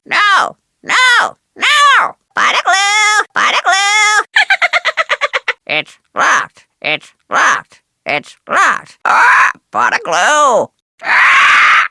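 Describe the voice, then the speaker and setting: A woman voicing a cheeky, bossy, vain pet parrot in a video game, squawky and shrill, repeating phrases in clipped bursts. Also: huge pitch swings, extremely slow, pushed and bright, dry recording, shouting, clean studio sound, heavily acted. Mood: excited squawking. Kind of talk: cartoonish video game character acting.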